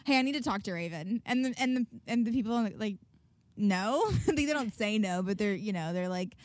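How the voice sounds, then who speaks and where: A woman's voice: mid-range voice, brisk, no room sound. A woman founder, telling stories in a casual chat and laughing often. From Nashville. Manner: laughs often, storytelling, animated. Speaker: startup founder, guest.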